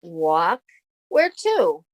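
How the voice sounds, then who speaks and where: A woman's voice: lowish voice, wildly swooping pitch, extremely slow, no room sound, lo-fi sound, heavily acted. A woman playing a sensible, weary young roommate, caring and wry, in a 1950s-style radio comedy. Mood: firm. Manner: motherly, exasperated, wry.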